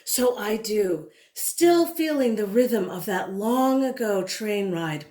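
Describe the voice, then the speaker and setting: A woman reading a children's picture book aloud in a dramatic, deliberate way, drawing out words and leaning on repeated phrases. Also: mid-range voice, animated, mellow. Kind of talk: expressive storybook read-aloud. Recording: roomy, echoing indoor sound.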